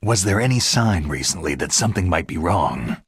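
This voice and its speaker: A man playing a gruff, skeptical veteran soldier in a video game, terse and questioning, with a gravelly rasp. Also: deep voice, lively intonation, normal speed, no room sound, studio quality, heavily acted. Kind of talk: video game cutscene acting.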